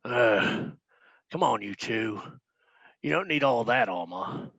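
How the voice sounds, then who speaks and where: A man playing a gruff, mocking orc army captain with a gravelly, husky voice in a fantasy comedy table read. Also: mid-range voice, expressive, slow, fairly bright tone, no echo, lo-fi sound, exaggerated voice.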